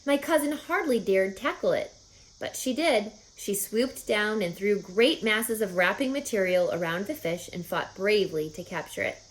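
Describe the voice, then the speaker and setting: A woman voicing a kindly, bossy, quick-witted female spider in a children's storybook, articulate and telling tales with relish. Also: mid-range voice, animated, reverberant, close to an everyday voice, poor audio. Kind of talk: children's storybook read-aloud.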